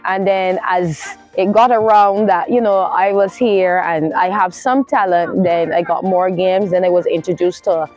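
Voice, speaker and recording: Lower-voiced Caribbean woman over music. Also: fairly even intonation, a little slow, few pauses, a little mellow. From Barbados. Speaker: interview guest, international assistant referee. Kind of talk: casual interview storytelling.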